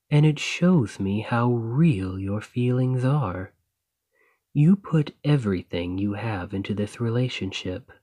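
A man playing a devoted, gentle boyfriend in a romantic ASMR roleplay, soft and husky, with a tender, reassuring manner. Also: lowish voice, no echo, studio quality.

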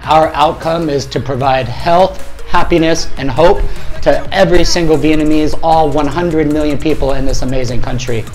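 A man's voice: a little high, normal speed, faint music behind, poor audio. A man in his forties, a fitness company head, giving an emphatic motivational talk to camera in a small gym studio, speaking into a lapel mic. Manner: energetic, emphatic, gestures widely with his hands.

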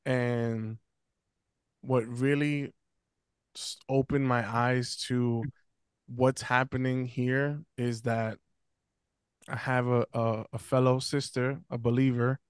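A man's voice: medium pitch, little pitch movement, slow, long pauses, smooth, no room sound. A young man on a video call, speaking earnestly into a close desk mic, with many fillers and restarts. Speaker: podcast guest speaker. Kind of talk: casual panel discussion. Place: small room at home, video call. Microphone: close desk mic on stand.

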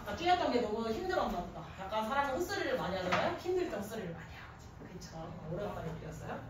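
A woman in her thirties teaching a grammar class in an animated, informal way, often quizzing students with questions. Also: fairly high pitch, racing. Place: indoor classroom at a chalkboard. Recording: echoey classroom from a distance, faint noise.

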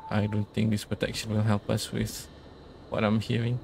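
A man's voice: lowish voice, completely flat delivery, normal speed, creaky, very noisy. A man giving casual let's play commentary, thinking aloud and reading out instructions as he goes. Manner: murmured thinking aloud, reads on-screen instructions. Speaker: gaming video host.

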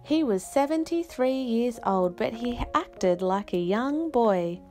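Slow, high-pitched woman reading a storybook. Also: expressive, darkish tone, soft background music. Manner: unhurried, careful phrasing with dramatic pauses. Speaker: storyteller reading a picture book aloud. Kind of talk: expressive children's read-aloud narration.

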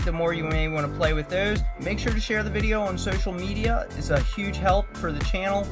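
A tech tutorial host giving a casual hands-on demonstration in a cautionary, instructive manner. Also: medium pitch.

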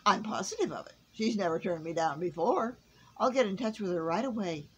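Lady doing a jolly old father christmas type, hearty and bossy, really animated, storybook style. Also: mid-range voice, fairly quick, breathy edge, rough recording. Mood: confident.

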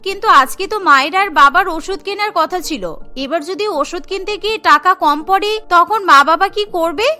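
A woman's voice: very high-pitched, normal speed, bright, forceful tone, exaggerated voice. A woman voicing a meek young village daughter-in-law who is honest and kind, speaking in an earnest, pleading way.

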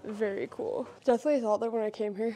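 A woman vlogging casually from a climbing gym, excited and often laughing, recorded on a camera. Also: fairly high pitch, fast talker. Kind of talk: casual vlog chat while climbing. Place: indoor climbing gym in a barn.

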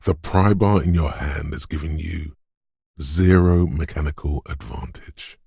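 A man playing a gruff, domineering inner voice of brute strength in a video game, blunt and deliberate in delivery. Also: warm tone, dry recording, studio quality, full character voice. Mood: measured narration. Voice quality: gravelly, husky bass. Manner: blunt, domineering gym-coach goading mixed with slow literary narration. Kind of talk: video game voice acting, scripted narration.

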